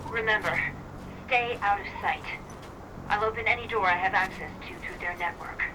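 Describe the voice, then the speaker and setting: A woman plays a stealthy infiltrator talking an operative through a game mission. Her medium-pitched voice is focused, businesslike and instructive, with clear noise around it. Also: normal speed, close to an everyday voice. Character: focused female infiltrator guiding an operative by radio. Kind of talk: video game acting.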